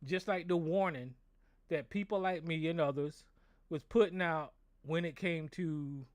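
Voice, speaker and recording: A hoarse American man, a show host, ranting angrily and profanely, repeating himself and stumbling over words. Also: on the high side, unhurried, dry recording. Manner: angry, profane, repetitive. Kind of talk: political rant on a call-in show.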